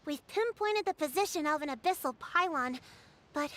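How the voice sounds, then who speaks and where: Serious composed lady guard leader, game character, measured and polite. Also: sits high, animated, a bit bright. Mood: grave. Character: earnest, composed woman leading a village defense. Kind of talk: video game voice acting.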